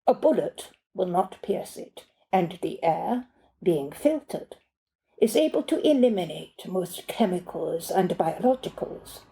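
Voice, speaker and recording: Storybook butler, played by a woman: pompous, condescending, snappish, measured delivery, soft-edged tone. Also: on the low side, very animated, vocal fry, full character voice, poor audio. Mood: lecturing. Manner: pompous, clipped, lecturing.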